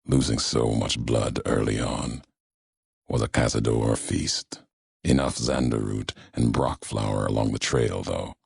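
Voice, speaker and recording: Very deep, gravelly, brooding wasteland wanderer. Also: male voice, steady tone, no room sound, clean studio sound, full character voice. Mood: measured, instructive. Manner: slow, solemn, cryptic, clipped phrasing. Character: grim, brooding male wasteland courier haunted by history. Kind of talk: scripted video game dialogue.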